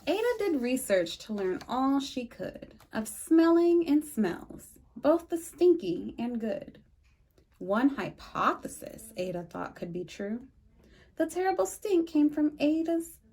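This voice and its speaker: Woman, fairly high voice, unhurried storytime reader, sing-song rhyming delivery. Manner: lively sing-song reading with slow emphatic pauses. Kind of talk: reading a rhyming picture book aloud.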